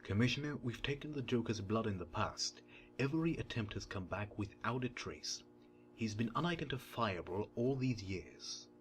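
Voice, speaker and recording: A man plays a morgue coroner in an audio drama. His voice is on the low side, and he explains things formally, deferential and a little uneasy. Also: lively intonation, normal speed, close to an everyday voice. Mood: formal, grave. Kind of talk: fan audio drama acting.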